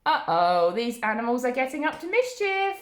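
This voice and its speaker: A woman's voice: on the high side, big pitch swings, moderate pace, roomy sound. A woman hosting story time for young children, reading expressively with asides to the listeners.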